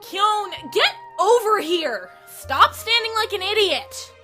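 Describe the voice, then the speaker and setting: Woman as anime teen girl leader, extremely high bright voice, brash, commanding, faint music behind. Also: lively intonation, takes it slowly, heavily acted. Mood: impatient. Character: bossy, brash, boastful teenage girl club leader. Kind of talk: anime-style audio drama acting.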